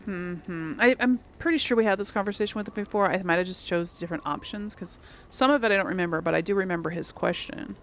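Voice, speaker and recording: A woman's voice: fairly low pitch, quick pace, few pauses. A woman giving casual, chatty let's play commentary, thinking aloud as she hosts a role-play gaming series.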